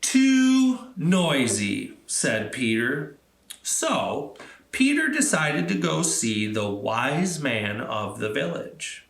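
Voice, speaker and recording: Man, children's storytime host, slow deliberate pace, lively pitch, encouraging, simple phrasing. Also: gravelly, reverberant. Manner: encouraging, simple instructions addressed to young children.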